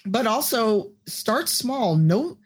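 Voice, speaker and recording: A woman with a deep voice, a podcast guest and streamer giving blunt, profane advice in casual chat. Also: sing-song, takes it slowly, slightly rough.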